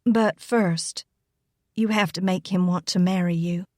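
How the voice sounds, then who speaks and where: Woman playing a calm, shrewd young cousin. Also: mid-range voice, moderate pace, dry recording, clean studio sound, natural voice. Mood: calm, pointed. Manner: calm, casual, pointed. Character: small, calm, shrewd younger female cousin, quietly defiant. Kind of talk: audiobook character acting.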